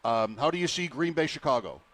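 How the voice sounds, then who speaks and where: A man hosting a sports radio show, asking questions in a relaxed, conversational way. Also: on the high side, fairly quick, no echo. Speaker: radio host.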